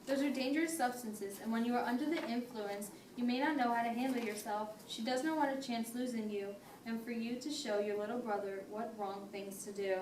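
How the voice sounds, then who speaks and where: A woman playing a patient, firm family therapist, speaking in a measured, formal way in an amateur role-play. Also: on the high side, darkish tone, natural voice. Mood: mediating, patient. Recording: noisy echoey room, distant mic.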